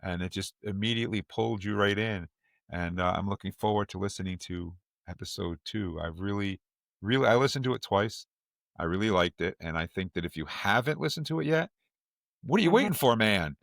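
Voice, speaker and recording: Enthusiastic low-voiced man hosting a podcast. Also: animated, a little fast, gravelly, fairly bright tone, no echo, clean studio sound. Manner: enthusiastic, emphatic repetition. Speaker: podcast host. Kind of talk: casual fan discussion.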